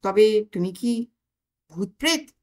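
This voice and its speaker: A woman's voice: medium pitch, lively intonation, slow pace, a little mellow. A woman playing an exasperated, sarcastic protagonist of a comic story, arguing in an indignant, wry way. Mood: mocking. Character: exasperated, sarcastic narrator confronted by own conscience.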